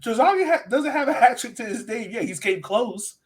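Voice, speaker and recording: A man's voice: very high-pitched, animated, fairly quick. A man hosting a sports podcast, chatting casually and emphatically about soccer in an informal way. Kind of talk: casual chat, soccer analysis.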